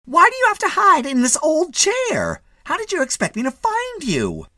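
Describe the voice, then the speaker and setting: Brief: scolding middle-aged wife done by a male reader, exasperated, high and swooping. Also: wildly swooping pitch, normal speed, pushed and bright, no echo, clean studio sound, full character voice. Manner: scolding, shrill.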